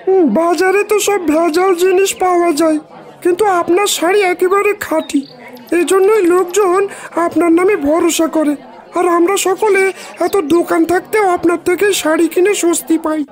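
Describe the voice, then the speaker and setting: A woman's voice: extremely high pitch, steady tone, normal speed, some chatter behind. A woman playing a trusting, approving village market customer in a fairy-tale cartoon, chatting appreciatively. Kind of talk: Bengali moral-tale cartoon dialogue.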